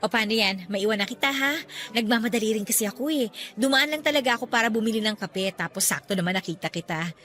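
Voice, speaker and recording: A woman's voice: mid-range voice, expressive, quick pace, a bit bright, natural voice. A woman playing a playful, chatty young businesswoman meeting an old flame in an audio drama, with a teasing, exclaiming manner. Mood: cheerful. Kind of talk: Filipino audio drama acting.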